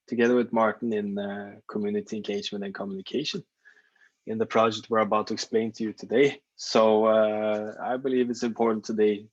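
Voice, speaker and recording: A man's voice: on the low side, level tone, fairly quick. A man from Norway introducing himself on a panel, polite and a little hesitant. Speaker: community engagement worker. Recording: dull lo-fi sound.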